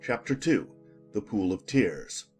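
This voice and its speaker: Animated male storybook narrator. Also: fairly low pitch, takes it slowly, soft background music. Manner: expressive, storytelling.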